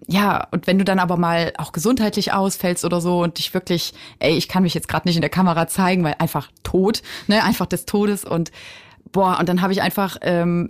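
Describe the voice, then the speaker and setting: A woman with a fairly low voice speaks as a guest in a radio studio, chatty and earnest. She often restarts her sentences and fills pauses with little hesitations. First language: German. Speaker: singer-songwriter guest. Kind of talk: casual interview talk.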